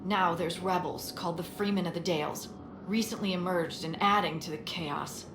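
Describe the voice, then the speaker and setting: A woman's voice: on the low side, normal speed, natural voice. A woman playing a wary, businesslike military scout in a fantasy game, briefing in a matter-of-fact tone. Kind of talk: scripted game dialogue. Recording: echoey, clearly noisy game capture.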